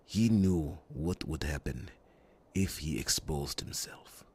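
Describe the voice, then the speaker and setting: A man playing a loyal, earnest captain of a royal guard in a fantasy ASMR roleplay, speaking formally and reassuringly in a breathy voice with dramatic pauses. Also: a bass voice, lively intonation, a little mellow, exaggerated voice. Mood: grave, sorrowful.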